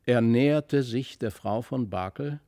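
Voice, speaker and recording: Male narrator for classic fairy tales, middle register, expressive literary storytelling. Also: mid-range voice, unhurried. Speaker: narrator, audiobook reader.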